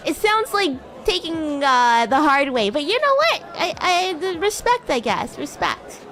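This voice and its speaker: A woman's voice: sits high, big pitch swings, a little slow, fairly bright tone, a little hiss or hum, exaggerated voice. A woman streamer voicing a bratty, haughty yet sweet young demon classmate in improvised, teasing roleplay chat. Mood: chatty, playful. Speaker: streamer, VTuber host.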